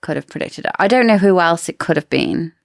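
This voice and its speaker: A woman's voice: fairly low pitch, lively intonation, brisk, gravelly, no echo, clean studio sound. A woman co-hosting a podcast, casually discussing books in an explanatory, speculative way. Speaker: podcast co-host.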